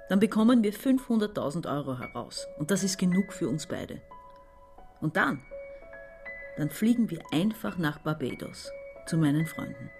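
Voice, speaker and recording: A woman's voice: low-pitched, darkish tone. An actress playing a bitter, domineering woman in her forties in a radio play, insistent and condescending. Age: 40s. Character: domineering, bitter sister masterminding a robbery. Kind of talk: radio play dialogue and monologue.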